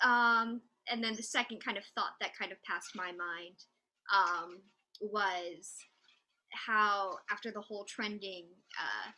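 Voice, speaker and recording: A woman's voice: medium pitch, takes it slowly, sharp tone. A woman speaking as a dramaturg on a panel, in casual, reflective talk.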